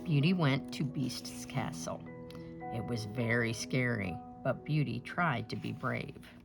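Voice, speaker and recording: A woman reading a children's picture book aloud with dramatic, expressive storytelling. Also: extremely low pitch, slow pace, creaky. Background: music.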